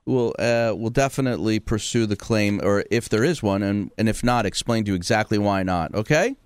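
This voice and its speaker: A man's voice: lowish voice, fairly quick, smooth, no room sound, studio quality. A male radio talk show host who talks chattily and jokes, often restarting his sentences. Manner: talkative and joking, with frequent restarts. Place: radio studio. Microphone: studio mic.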